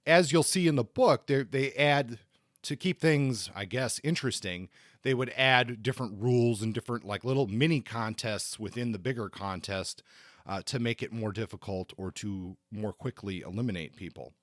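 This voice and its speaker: A man co-hosting a podcast, explaining history in a conversational way, often halting and repeating words. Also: mid-range voice, no echo, clean studio sound. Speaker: podcast co-host.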